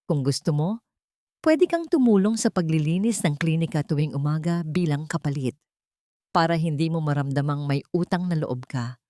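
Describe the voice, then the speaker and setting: A woman voicing a kind, reassuring man with a gentle, considerate manner in a narrated love story. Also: deep voice, normal speed, no room sound, close to an everyday voice. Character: kind, reassuring man helping a struggling mother.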